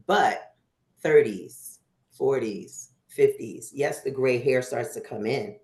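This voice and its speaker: A woman's voice: extremely low pitch, takes it slowly, a little mellow, roomy sound. A woman in her fifties hosting a casual group chat, chatty and laughing often. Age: 50s. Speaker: host.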